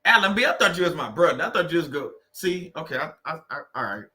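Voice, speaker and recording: A man with a Louisiana drawl hosts a relaxed livestream, loose and teasing as he greets viewers one by one. His voice is bright and forward, sitting a little higher than most men's. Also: quick pace. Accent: Louisiana Southern US. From Louisiana, USA. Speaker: livestream host. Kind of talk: casual livestream chat with viewers.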